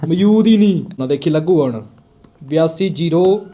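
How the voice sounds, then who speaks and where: A man's voice: a little high, expressive, moderate pace, warm tone. A man chatting casually and making prank phone calls as the host. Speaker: prank call video host.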